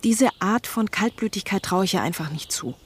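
A woman's voice: mid-range voice, expressive, moderate pace, a little hiss or hum, natural voice. A woman playing a worried, earnest young fiancée in a radio play, confiding in her manner. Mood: anxious.

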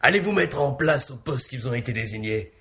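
A mercenary chief acted by a man with a medium-pitched voice in a sci-fi audio drama. He is composed and authoritative, addressing his troops with easy confidence. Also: animated, brisk, crowd noise, lightly acted. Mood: commanding. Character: calm, confident male mercenary commander. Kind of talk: audio drama science fiction acting.

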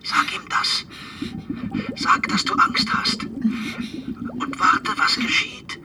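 An actor playing a calm, instructive whale researcher who gives measured orders over an underwater walkie-talkie in a radio play. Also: male voice, very noisy. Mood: instructing.

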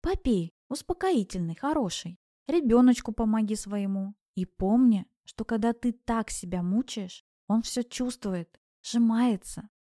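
An elderly village grandmother played by a woman narrator for an audiobook. She speaks in a raised pitch with folksy tenderness, chiding gently and reassuring knowingly. The sound is close and dry. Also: expressive, unhurried, no room sound. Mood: firm, consoling. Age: older. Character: kindly old village granny, gently chiding and soothing. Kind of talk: audiobook character voice.

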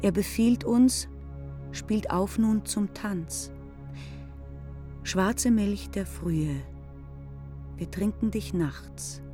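A woman's voice: mid-range voice, extremely slow, long pauses, mellow, faint music behind. A woman giving a solemn, dramatic recitation of poetry in a radio play.

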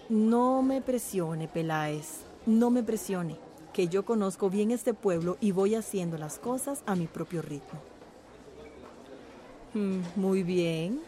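An actress playing an imperious, scheming town official in a radio drama, curt and self-assured. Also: female voice, mid-range voice, warm tone, close to an everyday voice.

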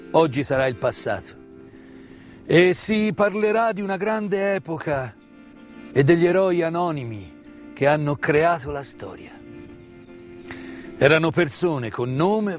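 A man delivers a solemn theatrical monologue, with emphatic, rhetorical phrasing and deliberate pauses. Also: fairly high pitch. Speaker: stage actor performing his own monologue. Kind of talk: dramatic theatrical monologue, solemn commemorative storytelling. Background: live musical accompaniment.

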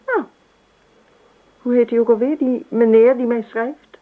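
A woman's voice: a little high, fairly even intonation, a little slow, natural voice. Casting: female actor for dreamy, playful wife in a radio drama, airy and evasive, on a muffled, narrow old recording. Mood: puzzled, evasive. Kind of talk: Dutch radio play acting. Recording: dull, lo-fi radio play recording.

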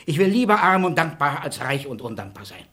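An actor playing a grateful, wealthy baron and father, effusive and formal, with a husky voice. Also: on the high side, big pitch swings, a little fast, pushed and bright, exaggerated voice. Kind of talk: radio play acting.

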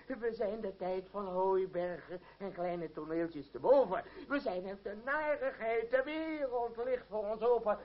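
An actor playing a pompous, easily swayed old theatre troupe leader in a radio play, theatrical, flustered and stammering. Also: male voice, sits high, unhurried, hardly any pauses. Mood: grandly placating. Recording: muffled, dull old radio play recording.

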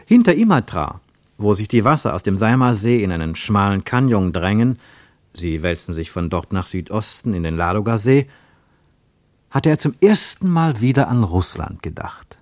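Man as radio play narrator, low-pitched, measured literary delivery, dry close sound. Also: very animated, no echo. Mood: measured, reflective. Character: measured, literary male narrator of a travel tale.

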